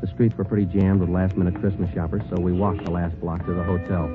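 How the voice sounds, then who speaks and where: An American man playing a terse, world-weary police sergeant in a 1940s radio drama, clipped and deadpan. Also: low-pitched, quick pace, narrow, tinny audio, lightly acted. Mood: matter-of-fact. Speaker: lead actor and narrator. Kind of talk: 1940s radio detective acting. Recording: old 1940s radio recording, music behind.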